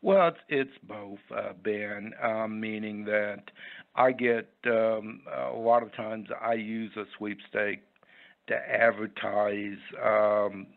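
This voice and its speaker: A man giving unscripted interview answers in a halting, rambling, folksy way over a phone line. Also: on the low side, steady tone, gravelly. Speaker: interview guest, website owner. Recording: muffled, tinny phone line.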